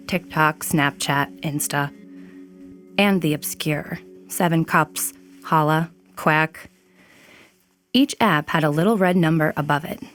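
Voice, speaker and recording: A woman narrating a scripted dark story. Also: sits low.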